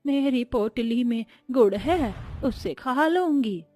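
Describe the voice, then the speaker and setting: A woman voicing a kindly, hungry old beggar woman in a cartoon fairy tale, speaking slowly and gently. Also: fairly high pitch, expressive, no room sound, soft background music. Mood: pleading. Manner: slow, gentle.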